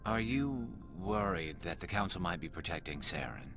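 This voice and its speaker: An actor playing a frustrated, earnest and intense alien soldier loyal to the crew in a video game. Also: male voice, low-pitched, expressive, moderate pace, over music. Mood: respectful, wary.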